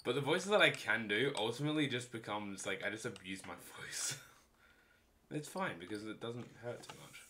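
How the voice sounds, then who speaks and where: A man's voice: on the low side, lively intonation, moderate pace, bright, forceful tone, slight background noise. A young man chatting casually and joking while playing a game with friends. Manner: playful, jokey, laughs often. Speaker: gamer. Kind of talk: casual gaming chat.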